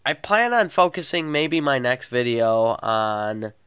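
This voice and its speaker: A man's voice: medium pitch, big pitch swings, takes it slowly, fairly bright tone, clear, resonant tone, no echo. Guy with a stuffed-up nose and scratchy throat doing a chatty movie review, lots of uhs, dry close sound. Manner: rambling, lots of uh and restarts. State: congested and sore-throated. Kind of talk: casual movie review vlog.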